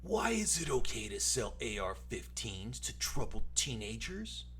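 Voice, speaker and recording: A man voicing a melodramatic, indignant online arguer in a theatrical, breathy dramatic reading. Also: mid-range voice, sing-song, a bit bright, exaggerated voice. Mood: accusing. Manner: slow, theatrical, breathy whispering. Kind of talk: dramatic reading of social media posts. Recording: noisy recording with clear hum.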